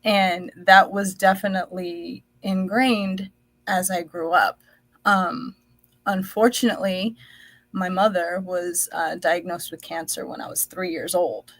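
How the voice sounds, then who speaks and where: Slow, reflective woman telling her story. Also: lowish voice, fairly even intonation, no room sound. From United States. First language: Dutch. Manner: slow, reflective, many fillers. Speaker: guest.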